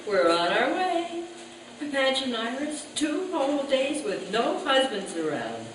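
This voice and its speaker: A lively, high-voiced 1940s housewife played by an actress, scheming and fretful, in radio comedy style. Her pitch swings freely. The sound is very echoey, picked up at a distance in a big hall. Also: a little slow. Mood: bright, scheming. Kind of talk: old-time radio comedy acting before a live audience. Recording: echoey hall, distant mics, hissy noise.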